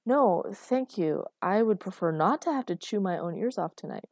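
A woman's voice: mid-range voice, expressive, normal speed, darkish tone, no echo, studio quality. A woman voicing a caring, put-upon father in his thirties, earnest and protesting, in audiobook dialogue. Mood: weary.

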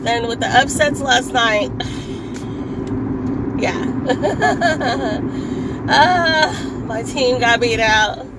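A woman with a hoarse, raspy voice, chatting casually as she drives to work and often laughing and clearing her throat. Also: a little high, extremely slow, long pauses, very noisy. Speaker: vlogger. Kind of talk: casual chat while driving. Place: in a car on a commute.

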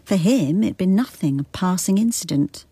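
An actress playing a frank, resolute detective inspector in a radio drama, reflective and plain-spoken, with an English accent. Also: female voice, fairly low pitch, expressive, normal speed, dark tone, husky, no room sound. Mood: reflective, firm. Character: frank, resolute working-class-raised female detective inspector. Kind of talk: radio drama, intimate first-person monologue and dialogue.

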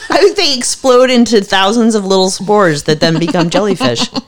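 A woman's voice: mid-range voice, lively intonation, fairly quick. A woman co-hosting a podcast who asks questions with cheeky, teasing humor. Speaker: podcast co-host. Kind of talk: casual podcast chat.

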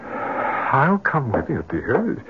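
A man's voice: medium pitch, huge pitch swings, drawn-out words, heavy background noise, full character voice. An American actor in an old-time radio drama playing an anxious, protective husband and grieving father, pleading and hesitant. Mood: uneasy, protesting. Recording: muffled lo-fi vintage radio recording.

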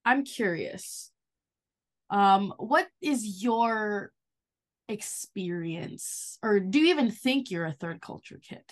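A woman's voice: medium pitch, lively intonation, slow. Playful young woman co-host. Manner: playful, joking asides. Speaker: podcast co-host. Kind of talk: casual chat.

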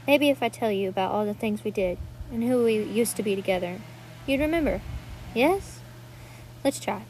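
Woman performing as devoted young sister, earnest pleading monologue, mid-pitched voice, faint background noise. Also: lively intonation, normal speed, a little mellow, lightly acted, rough recording. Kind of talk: dramatic student monologue reading.

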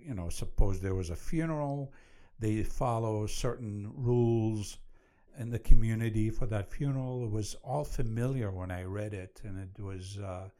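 Mellow, low-voiced Iraqi-accented man. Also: normal speed. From Iraq. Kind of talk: conversational book discussion.

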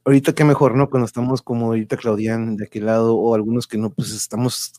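A man's voice: mid-range voice, level tone, fast talker, warm tone, no echo, clean studio sound. Chatty Mexican man hosting a livestream. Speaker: host of a live online show. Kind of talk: casual chat with family on a livestream.

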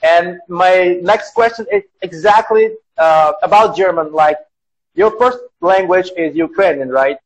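High-voiced man hosting an interview. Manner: halting, many fillers and restarts. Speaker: podcast host. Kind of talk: casual interview. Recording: dull lo-fi sound.

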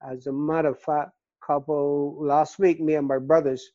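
An older man from Barbados with a Barbadian accent, telling his story in a casual interview, earnest and halting with repeated words. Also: a little high, little pitch movement, dark tone, no echo. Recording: dull, dry lo-fi recording.

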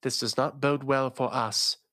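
A man's voice: mid-range voice, steady tone, unhurried, sharp tone, no room sound, clean studio sound. A man voicing a determined woman who is a ship captain and senator, speaking earnestly and reassuringly.

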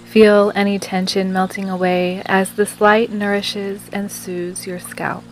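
Female meditation guide, medium pitch, very unhurried soothing scripted read over music. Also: little pitch movement, poor audio. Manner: slow, soothing, measured delivery with long pauses. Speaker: meditation guide and podcast host.

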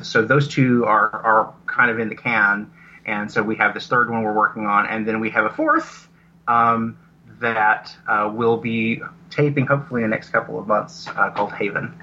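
A man talking casually as an interview guest, explaining his audio drama work in a halting, rambling, self-correcting way. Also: on the low side, sharp tone, slight background noise. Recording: dull lo-fi connection.

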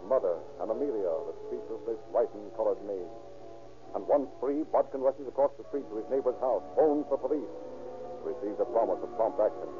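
A man narrating an old-time radio crime drama in a solemn, deliberate, theatrical style. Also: on the low side, close to an everyday voice. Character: grave, dramatic male crime-story narrator. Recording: old radio broadcast, narrow tinny sound.

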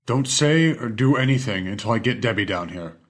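A man's voice: lowish voice, animated, a little fast, lightly acted. A man playing a tense, bossy older male colleague in an audiobook story, speaking in terse commands. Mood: urgent, bossy.

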